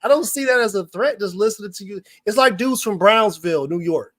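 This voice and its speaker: A man with a high-pitched voice, raised in Arkansas and Michigan, hosting a loose boxing chat. He talks in animated, slang-heavy stories, full of asides and jokes, keeping the conversation lively. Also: fairly quick.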